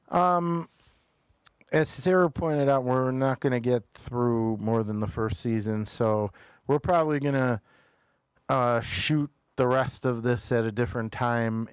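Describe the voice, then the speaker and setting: Slow-talking man hosting a casual review chat. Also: mid-range voice, smooth, no echo. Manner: halting, searching for words. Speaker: talk show host reviewing TV episodes.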